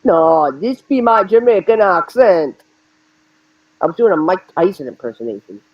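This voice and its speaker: Animated, husky-voiced guy riffing. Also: high voice, no room sound, muffled recording. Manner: loose, profane, jokey, halting restarts. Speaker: podcast co-host. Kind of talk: casual wrestling chat.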